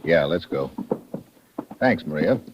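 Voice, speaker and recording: An actor playing a savvy, informal American agent in an old-time radio detective drama. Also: male voice, very deep voice, lively intonation, takes it slowly, full character voice. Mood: businesslike. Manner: informal, clipped, tough-guy. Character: gruff, savvy American secret agent investigator. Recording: noisy, muffled vintage radio recording.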